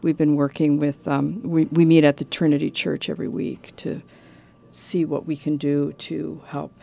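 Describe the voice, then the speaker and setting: A woman's voice: low-pitched, flat delivery, moderate pace, vocal fry, warm tone. A woman from Canada, a guest in an interview, talking earnestly about her work. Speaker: guest, communications director. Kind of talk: casual interview.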